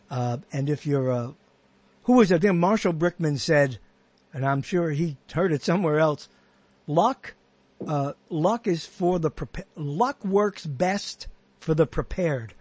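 A man's voice: on the high side, unhurried, a little mellow, slightly rough, no echo. A man hosting a podcast, chatting casually and rambling with many restarts and half-finished thoughts. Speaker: podcast host. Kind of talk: casual interview chat.

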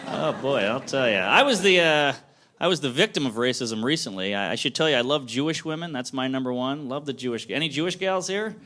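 A man doing a stand-up set on a club stage, with a lively, self-mocking delivery. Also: mid-range voice, normal speed. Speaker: stand-up comedian. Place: comedy club stage before laughing audience. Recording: loud crowd laughter and noise.

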